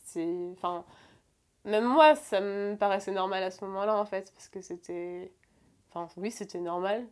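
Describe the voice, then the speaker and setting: A woman's voice: medium pitch, normal speed, a little mellow, clean tone. A woman in her twenties telling a personal story in conversation, hesitant, with many fillers and restarts. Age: 20s. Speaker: guest.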